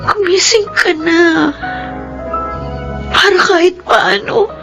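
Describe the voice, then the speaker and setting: Slow, tearfully remorseful grown daughter. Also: female voice, very high voice, fairly even intonation, exaggerated voice. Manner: halting, pleading, emotional delivery. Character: remorseful adult daughter, self-blaming, tender toward sick mother. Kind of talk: radio drama acting. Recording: studio voice over prominent music.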